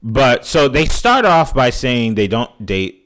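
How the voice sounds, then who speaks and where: A man's voice: mid-range voice, sing-song, slow, fairly bright tone. A man co-hosting a casual podcast, recounting a story in a rambling way and acting out other people's quotes. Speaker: podcast co-host. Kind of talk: casual chat.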